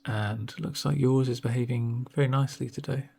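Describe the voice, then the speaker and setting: A man speaking softly in an ASMR roleplay as a doctor, with a gentle, reassuring, unhurried manner and a breathy voice. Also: medium pitch, fairly even intonation. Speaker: ASMR performer playing a doctor. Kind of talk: soft-spoken ASMR roleplay.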